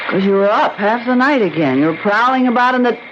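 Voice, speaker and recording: A woman playing a devoted, patient wife in an old radio drama, gentle and soothing with a pleading manner. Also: on the high side, animated, fast, close to an everyday voice. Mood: concerned. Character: devoted, patient young wife of a blind man. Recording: muffled, noisy vintage radio recording.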